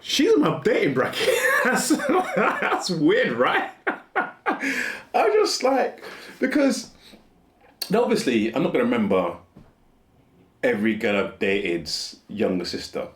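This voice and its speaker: Male vlogger, 40s, casual rambling delivery, very lively pitch, echoey room. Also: slow pace. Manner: rambling, restarts often, laughs at himself. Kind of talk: casual musing monologue.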